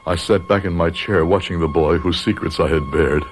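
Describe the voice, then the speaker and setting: An actor playing a grave, dying older professor, narrating a radio drama in solemn, measured, haunted phrases. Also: male voice, sits low, lightly acted. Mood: pained, confessional.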